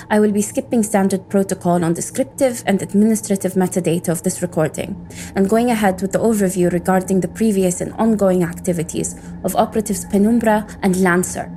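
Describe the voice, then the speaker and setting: An actress playing an irritable, sarcastic cybersecurity operative in an audio drama, with a dry, cynical way of talking. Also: female voice, mid-range voice, warm tone, slight background noise, lightly acted.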